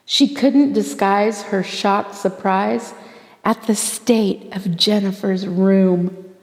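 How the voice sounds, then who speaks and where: A woman reading a rhyming children's story aloud in an expressive, theatrical way, drawing out words for emphasis. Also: medium pitch. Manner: theatrical, deliberate phrasing with drawn-out emphasis for children. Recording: long hall-like reverb.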